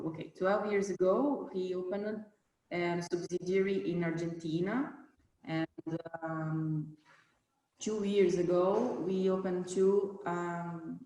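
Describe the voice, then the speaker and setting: A Brazilian woman, a native Portuguese speaker, answering interview questions about a company in halting English, searching for words. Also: lowish voice, a little mellow, echoey room, rough recording. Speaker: company manager being interviewed.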